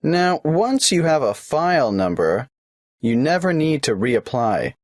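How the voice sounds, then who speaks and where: A man's voice: a little high, sing-song, a little slow, no echo, clean studio sound. A man playing a tax office clerk in a scripted exam dialogue, patiently asking form questions in a matter-of-fact way. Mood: businesslike. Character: polite adult male government clerk taking an application.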